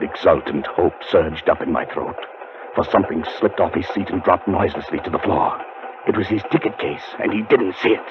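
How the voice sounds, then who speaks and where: An actor playing a haunted, desperate man who narrates his own ordeal in tense, deliberate 1940s radio style. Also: sits low, noisy background. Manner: tense, dramatic, deliberate delivery. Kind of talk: 1940s radio acting, first-person suspense narration. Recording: muffled 1940s radio broadcast with loud music.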